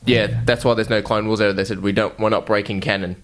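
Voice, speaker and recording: A man's voice: on the low side, little pitch movement, quick pace, fairly bright tone. A man co-hosting a podcast in casual chat, with informal, sweary banter. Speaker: podcast host.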